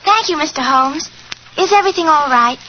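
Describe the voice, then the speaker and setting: High-voiced, sweet little girl. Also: expressive, a little fast. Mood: polite, hopeful. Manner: polite, earnest, childlike. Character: sweet, polite twelve-year-old girl. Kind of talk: 1940s radio acting. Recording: muffled, noisy 1940s radio recording.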